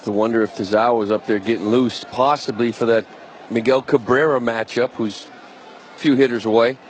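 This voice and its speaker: A man's voice: mid-range voice, fairly even intonation, brisk, rough recording. A man giving live color commentary and analysis on a baseball radio broadcast. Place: stadium broadcast booth. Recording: faint stadium noise behind voice. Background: faint ballpark ambience.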